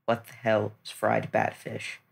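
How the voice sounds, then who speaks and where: Slow, deliberate man telling a story. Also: on the low side, monotone, vocal fry, slightly rough. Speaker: player in a tabletop game. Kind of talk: improvised storytelling.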